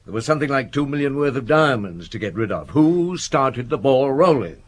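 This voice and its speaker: An actor playing a shrewd, dogged police chief in a radio drama, questioning briskly and with authority. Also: male voice, medium pitch, big pitch swings. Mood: stern, probing. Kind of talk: broadcast radio drama acting.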